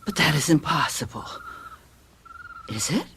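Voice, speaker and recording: An actress playing a hurt, timid, bewildered housewife in a radio drama, speaking hesitantly and plaintively. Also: female voice, sits low, very animated, a little slow, vocal fry, a bit bright, heavily acted. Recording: noisy vintage radio drama tape.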